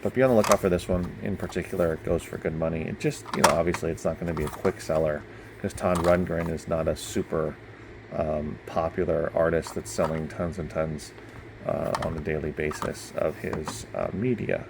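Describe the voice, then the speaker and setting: A man's voice: a bass voice, steady tone, normal speed, creaky, background noise. A man talking casually and off the cuff as a reseller, rambling along with trailing asides. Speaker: online reseller and bookstore owner. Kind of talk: casual chat.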